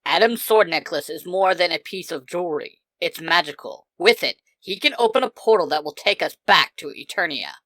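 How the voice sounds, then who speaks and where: A woman voicing a grave, weary older male warrior mentor in a fan fiction story, speaking in an earnest, measured way. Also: lowish voice, animated, a bit bright, no room sound, voice pushed up. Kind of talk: dramatised fan fiction dialogue.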